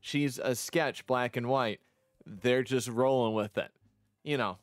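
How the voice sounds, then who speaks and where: A man chats casually as a streamer walking viewers through a visual novel. The delivery is halting and full of self-interrupting asides. The sound is very dry, with music behind it. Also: mid-range voice, no echo. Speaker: vtuber streamer showing their own visual novel. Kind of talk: casual commentary and read-aloud of a visual novel. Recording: music under the voice.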